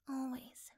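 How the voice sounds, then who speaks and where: A woman playing a caring, curious young girlfriend in a soft-spoken, tender ASMR roleplay, breathy at times. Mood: tender, reassuring.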